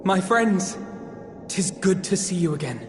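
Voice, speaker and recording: A man's voice: high-pitched, expressive, a little slow, music in the background. An actor playing an earnest, eloquent young nobleman in a video game cutscene, in an echoey hall. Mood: friendly.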